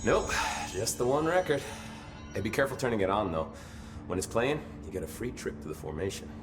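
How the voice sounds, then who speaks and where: A man's voice: on the low side, very animated, brisk, over music. A man playing a weary, wry security officer in a video game, talking in a casual, grumbling, hesitant way with dry humor. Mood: wry, explanatory. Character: weary, foul-mouthed male security officer, wry and chatty. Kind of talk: naturalistic video game dialogue.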